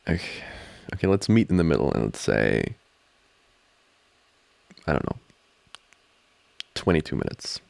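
A man with a low, heavily creaky voice plays a flustered studying boyfriend in an ASMR roleplay. He talks slowly and hesitantly, close to the mic, with pitch that rises and dips often. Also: sing-song, darkish tone, no echo. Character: flustered, distracted studying boyfriend, teasing and affectionate. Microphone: close microphone, dry.

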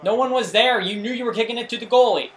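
A man's voice: very high voice, fast talker, music in the background. A man giving casual gameplay commentary, talking to himself in exasperation and often repeating phrases. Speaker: gaming video creator.

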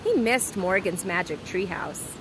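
A woman reads a children's storybook aloud at a slow, teacherly pace. Her pitch is medium, and she is outdoors with clear ambient and vehicle noise behind her. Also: lively intonation.

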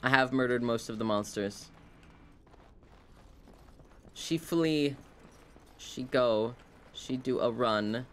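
Slow-paced, wry video game streamer. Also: mid-range voice, fairly bright tone, a little hiss or hum. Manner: rambling, wry, talking to self and game. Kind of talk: casual live gameplay commentary. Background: video game sounds.

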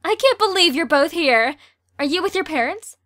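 A woman's voice: very high voice, expressive, normal speed, fairly bright tone, no echo, studio quality. A woman playing a friendly, chatty, teasing teenage girl in an amateur audio drama. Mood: cheerful.

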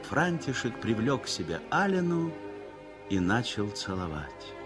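A man narrating a 1960s radio play in a measured storytelling manner. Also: medium pitch, very animated, music in the background.

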